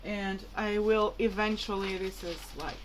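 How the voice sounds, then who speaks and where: A woman's voice: medium pitch, fairly even intonation, unhurried, a little hiss or hum, rough recording. A woman whose mother tongue is Portuguese, talking casually and hesitantly to viewers in a rambling, informal vlog. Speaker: vlogger.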